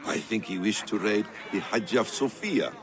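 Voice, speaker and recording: An actor playing a shrewd, courteous and mysterious foreign traveler, speaking in measured, reflective game dialogue. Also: male voice, fairly low pitch, crowd noise, close to an everyday voice. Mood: courteous, intrigued. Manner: measured, polite, storytelling. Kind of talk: dramatic game cutscene dialogue.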